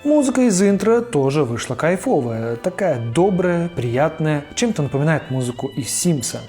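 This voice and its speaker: Guy doing a chill cartoon review voiceover, slangy and opinionated, a bit higher voice, faint music under. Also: big pitch swings, normal speed. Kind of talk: casual video-essay narration.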